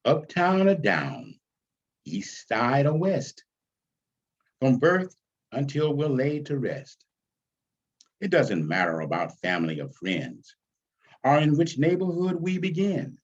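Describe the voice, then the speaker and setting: Slow, husky, low-voiced man reading dramatically. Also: huge pitch swings, muffled recording. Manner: deliberate, dramatic phrasing. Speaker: author reading own play. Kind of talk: expressive read-aloud of a stage script.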